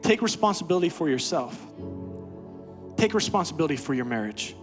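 A man preaching a sermon as pastor, swinging from quiet, low talk to loud, high-pitched exhortation. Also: very animated, fairly quick, over music. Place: church sanctuary.

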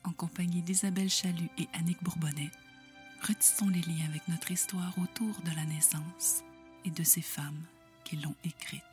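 A woman reads a scripted introduction in a slow, breathy, near-whispered way. Her voice sits a little low, and clear music plays underneath. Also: fairly even intonation. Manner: soft, slow, breathy delivery. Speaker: show narrator. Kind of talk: scripted narration. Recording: narration over music.

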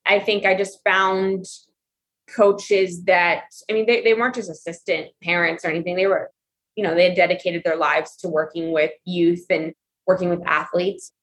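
A woman's voice: on the low side, fairly even intonation, normal speed. A woman, a competitive swimmer, answering interview questions in a reflective, earnest way with frequent "you know" and "I mean".

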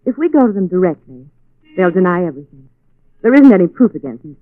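A woman's voice: on the low side, quick pace, dry recording. A woman playing a spirited, teasing lady companion to a detective in 1940s radio drama style. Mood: earnest, urgent. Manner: bright-witted, expressive. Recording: thin, muffled 1940s radio recording.

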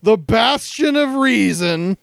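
A man with a fairly high voice chats casually as a podcast co-host. He drops in short wisecracks, recorded close and dry with no room sound. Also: lively intonation, takes it slowly, vocal fry, fairly bright tone, no room sound. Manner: wisecracking, interjects short quips. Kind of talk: casual joking chat.

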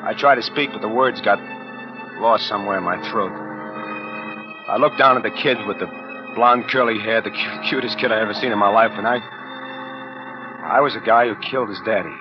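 An American man acting in a 1940s radio drama as a working-class gunman haunted by his crime, speaking in a halting, colloquial way. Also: lowish voice, over music, close to an everyday voice. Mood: shaken, guilty. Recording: muffled 1940s radio broadcast recording.

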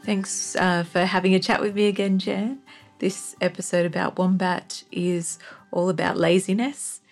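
A woman talks casually as a guest on a podcast. Her voice is fairly low and creaky, with little movement in pitch. She feels her way through thoughts and checks for agreement. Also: level tone, moderate pace, soft background music. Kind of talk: casual chat.

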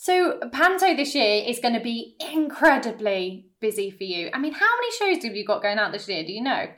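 A woman with a voice a little higher than usual, hosting a podcast. She speaks casually to her guest and is effusive and encouraging as she interviews. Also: sing-song, fairly quick, a bit bright.

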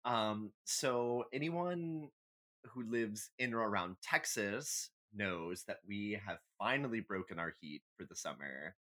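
A man's voice: on the low side, animated, takes it slowly, fairly bright tone. A man co-hosting a podcast in casual banter, dryly humorous and playfully dramatic in how he tells things. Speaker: podcast co-host.

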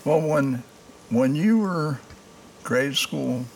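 Older male podcast host, gravelly voice, halting delivery, faint background hiss. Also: mid-range voice, animated, darkish tone, rough recording. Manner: halting, with many "uh" pauses. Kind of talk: casual interview.

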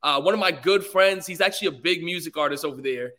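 A man's voice: on the high side, fast, bright, forceful tone. A young man from England, a guest on a podcast, talking politely and easygoingly with many fillers. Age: young adult. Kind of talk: casual interview.

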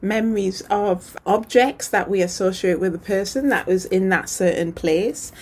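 Woman, podcast host, casual and chatty, eager, mid-pitched voice. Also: normal speed, slightly rough. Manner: enthusiastic, chatty, many likes and fillers. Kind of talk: casual interview chat.

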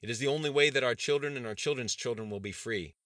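A man's voice: mid-range voice, fast talker, a bit bright, no room sound, studio quality, pitched above the performer's own voice, natural voice. A man reading an audiobook voices a middle-aged governor delivering an impassioned speech to a crowd. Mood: fervent. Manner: impassioned oratory.

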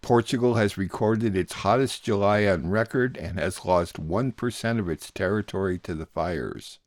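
A man's voice: fairly low pitch, no room sound, studio quality. A man hosting a radio news programme, reading scripted summaries and source credits. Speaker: radio news show host.

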